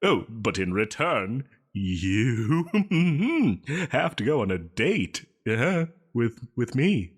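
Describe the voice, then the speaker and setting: A theatrical, lovestruck male supervillain, in an audio drama. He is needy, possessive and self-pitying, drawing words out slowly while his pitch swoops dramatically. Giggles and stammers break up his melodrama. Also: sing-song, full character voice. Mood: gloating. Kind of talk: scripted roleplay audio drama.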